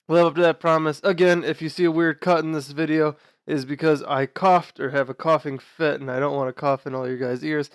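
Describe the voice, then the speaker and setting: A young man hosting a gaming video, talking casually through his team choices while sick, sounding nasal and quieter than usual. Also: medium pitch, clear, resonant tone, no room sound, studio quality. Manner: chatty, explains team choices with fillers and restarts. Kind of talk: casual gameplay commentary.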